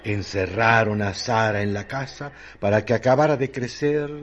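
A man's voice: lowish voice, fairly even intonation, moderate pace, slight background noise, lightly acted. A man with a Mexican accent performing as the solemn storyteller of a literary radio drama. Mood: solemn, measured. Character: solemn storyteller of a rural tale. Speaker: narrator. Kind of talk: scripted literary radio narration.

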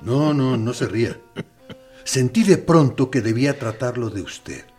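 An actor playing a male torturer captain in a radio drama, measured and defensive, justifying himself with quiet intensity. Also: medium pitch, lively intonation, slightly rough, faint music behind.

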